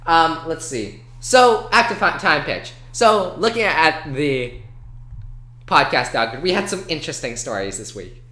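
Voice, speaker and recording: A man co-hosting a podcast in casual banter, explaining things haltingly and correcting himself as he goes. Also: a little high, expressive, pushed and bright. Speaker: podcast co-host. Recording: roomy, echoey sound.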